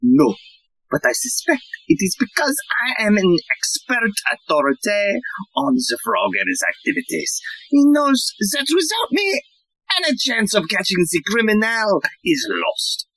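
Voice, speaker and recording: A woman playing a pompous, self-important police inspector in a theatrical audio play, lecturing with dramatic pauses. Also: on the high side, wildly swooping pitch, creaky, a bit bright, breathy edge, no room sound, heavily acted, poor audio.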